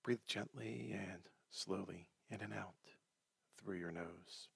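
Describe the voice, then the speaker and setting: A man leading a guided meditation in a soft, breathy, gentle voice, unhurried and leaving long pauses between short phrases. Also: low-pitched, no room sound. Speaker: meditation teacher.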